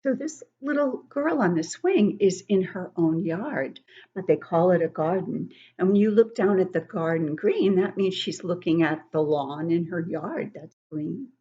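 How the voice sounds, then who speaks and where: An older woman giving an interactive read-aloud lesson for young children, encouraging and expressive, asking questions and explaining things simply. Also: fairly low pitch, breathy edge, highs cut off. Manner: encouraging, asks questions and pauses to praise answers. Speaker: children's book club teacher reading poems aloud.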